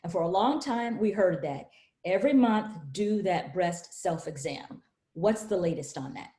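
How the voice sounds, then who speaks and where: A woman's voice: fairly low pitch, animated, a little slow, dark tone. A middle-aged woman hosting a webinar interview, welcoming and polished. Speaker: host. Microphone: webcam on a video call. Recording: echoey room over a webcam.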